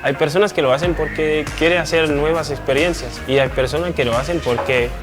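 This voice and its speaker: A man's voice: a little high, music in the background. Hesitant man speaking. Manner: hesitant, calm, with pauses and fillers. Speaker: interviewee. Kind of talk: interview answers.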